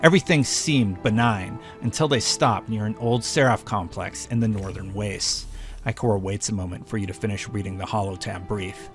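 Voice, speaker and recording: Guy reading game lore like a script, voice a bit low, soft music under. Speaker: narrator. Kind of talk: scripted lore narration, reading dialogue too. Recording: faint music behind narration.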